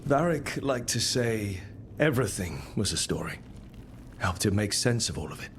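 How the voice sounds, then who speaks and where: Low-voiced, calm hero mage. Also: male voice, normal speed, slight background noise. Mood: caring, calm. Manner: calm, reassuring, plain-spoken. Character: steady, supportive young male mage leader of heroes. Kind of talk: video game character acting.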